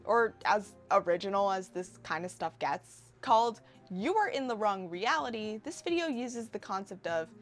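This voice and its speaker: Expressive young woman, wry video essay narration. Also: medium pitch, moderate pace, hardly any pauses, soft background music. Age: 20s. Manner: wry, sarcastic asides. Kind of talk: casual scripted video essay commentary.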